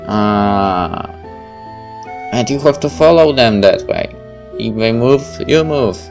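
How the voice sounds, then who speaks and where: A man's voice: fairly low pitch, animated, slow pace, over music. A man reading a story game aloud and commenting on it as he plays. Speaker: gamer reading a visual novel aloud and commenting. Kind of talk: dramatic read-aloud of first-person fiction with casual asides.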